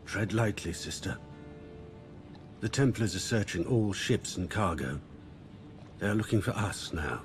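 An actor playing an older assassin master in a video game, a calm, patient mentor who speaks gravely and deliberately. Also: male voice, fairly low pitch, soft background music. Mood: cautioning. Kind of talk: dramatic game cutscene dialogue.

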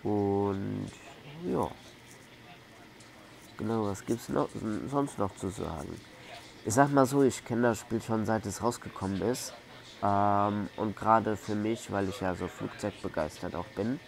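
A man hosts a casual let's play with a low, gravelly voice. He rambles in colloquial fashion and circles back over his points. Faint noise runs under the recording. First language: German. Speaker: gaming video host.

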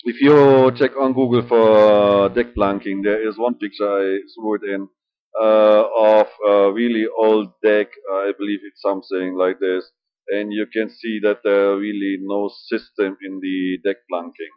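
A middle-aged man with a German accent, speaking halting English at home with many filler sounds and restarts. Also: fairly low pitch, flat delivery, no room sound. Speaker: model ship builder. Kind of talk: casual hobby vlog. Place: small room indoors. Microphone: webcam at desk. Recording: dull lo-fi webcam audio.

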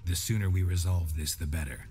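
Cold, cynical monster hunter played by a man for a fantasy game: extremely deep, deadpan, terse, faint music behind. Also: fairly quick, natural voice. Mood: dry, businesslike. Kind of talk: dramatic video game acting.

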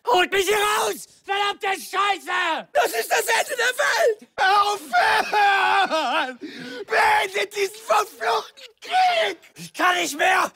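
A man's voice: very high voice, lively intonation, slow pace, sharp tone, shouting, heavily acted. A male actor playing a panicking, despairing German soldier in a war video game, screaming and pleading in anguish. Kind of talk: shouted video game battle voice lines.

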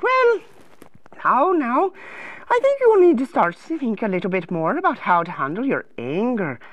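A high-pitched, fussy advisor acted by a woman in a children's moral lesson. The voice is sing-song and coaxing, patiently instructive, swooping widely in pitch in a dry, close recording. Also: sing-song, moderate pace, no echo.

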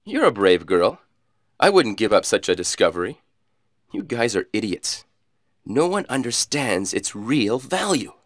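An actor playing an arrogant, cold villainous commander in a video game, with theatrical, condescending menace and stilted delivery. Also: male voice, fairly low pitch, big pitch swings, unhurried, no echo, clean studio sound. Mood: arrogant, menacing.

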